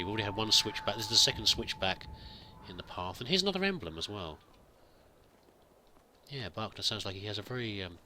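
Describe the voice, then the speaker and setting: A man commenting on his roleplay gameplay, with a very bright, sharp voice that swings lively up and down in pitch. He muses aloud in a chatty way and reads game text as he goes. A faint noise sits under the voice. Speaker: let's play host.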